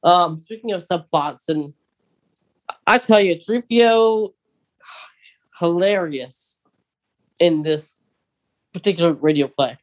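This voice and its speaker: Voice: medium pitch, takes it slowly, long pauses, poor audio. A podcast co-host in casual chat, talking hesitantly with many fillers and restarts while steering the conversation with questions.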